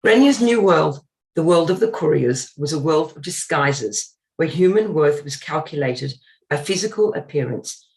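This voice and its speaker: A man's voice: a little high, lively intonation, moderate pace, creaky, low-quality recording. A man giving an earnest community lecture, partly read from notes, with frequent ums and uhs as he explains. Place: synagogue talk.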